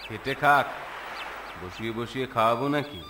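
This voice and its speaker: A man voicing a stingy, excitable village master in a cartoon story, grumbling and repeating his words. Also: medium pitch, moderate pace, noisy background, lightly acted. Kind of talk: Bengali cartoon story acting.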